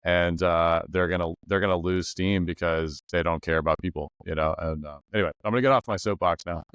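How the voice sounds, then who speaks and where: A man's voice: sits low, fairly quick, fairly bright tone, clear, resonant tone, no room sound, dull audio. A man hosting a podcast interview, talking loosely and thinking aloud, often checking in with "right?". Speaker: podcast host. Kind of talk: casual interview chat.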